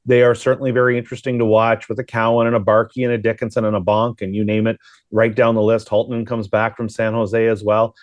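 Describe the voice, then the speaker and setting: Male sports broadcaster, lower register, conversational analysis with rhetorical questions, no room echo. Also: level tone, fast. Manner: thinks aloud with rhetorical questions.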